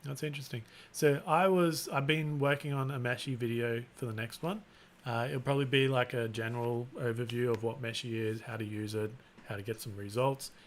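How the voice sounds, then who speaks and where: A man hosting a live stream, chatting casually in a flat, rambling way and often restarting his sentences. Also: mid-range voice, a little hiss or hum. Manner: self-described monotone, rambling with restarts. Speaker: live-stream host and content creator.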